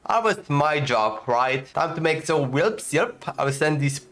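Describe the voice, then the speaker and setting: Casting: male let's-play streamer, slightly high voice, jokey, casual, mock-grumbling. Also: expressive, a little slow. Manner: jokey, slangy, mock complaining. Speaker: gaming streamer. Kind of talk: casual playthrough commentary.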